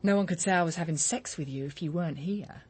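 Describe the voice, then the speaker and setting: An actress playing a blunt, impatient young mother living rough in a radio drama. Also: fairly low pitch, quick pace, a little mellow. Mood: challenging. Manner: blunt, swearing, teasing.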